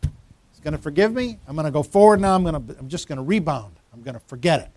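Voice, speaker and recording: A man's voice: fairly high pitch, expressive, fast, a little mellow, slight background noise. A pastor in his fifties giving a conversational sermon, teasing members of the congregation by name. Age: 50s. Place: church.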